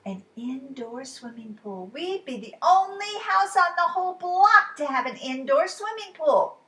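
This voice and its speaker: A woman's voice: sits high, big pitch swings, unhurried, fairly bright tone, reverberant, recorded from a distance, pitched above the performer's own voice, exaggerated voice. A woman voicing a playful, easygoing male imaginary friend in a children's storybook, breezy and coaxing. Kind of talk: children's storybook read-aloud.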